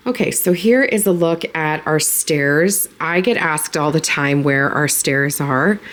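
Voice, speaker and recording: A woman hosting a home vlog, talking casually and a little hoarse, with lots of fillers in a living room. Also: low voice. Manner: rambling and friendly, with many fillers. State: slightly hoarse. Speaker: home vlogger and channel host.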